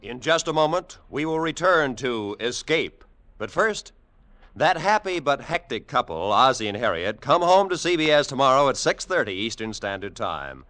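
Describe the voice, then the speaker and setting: A man's voice: mid-range voice, big pitch swings, normal speed, sharp tone. A man announcing in scripted 1940s network radio style. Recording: vintage 1940s radio broadcast. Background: music.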